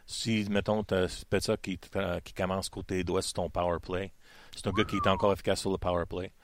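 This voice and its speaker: A man, debating hockey trades on a radio show, halting and repeating words as he thinks. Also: low voice, level tone, noisy background. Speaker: hockey panelist. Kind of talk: casual sports debate.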